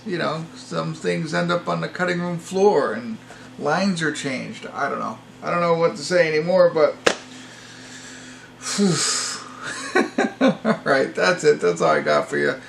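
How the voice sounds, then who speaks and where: A man with a fairly high voice and a heavy creak talks through an informal movie review. He rambles and hesitates, often sounding apologetic. There is faint noise behind him. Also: fairly bright tone. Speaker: amateur movie reviewer, host. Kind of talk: casual unscripted review to camera.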